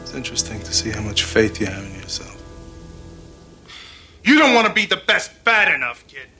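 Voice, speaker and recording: A veteran American pool master in a television drama. His mid-pitched voice is deliberate and calm, needling with quiet superiority, while music plays clearly behind him. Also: heavily acted. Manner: measured, deliberate, taunting.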